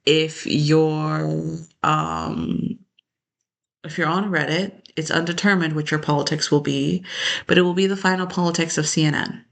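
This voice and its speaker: A vlogger rambling to camera in a gravelly, fry-laced voice that sits at a middle pitch. The talk drifts from one idea to the next and often trails off mid-thought. It sounds loose and unscripted. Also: fairly even intonation, a little slow. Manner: rambling, jumps between topics, trails off. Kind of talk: casual rambling monologue to camera.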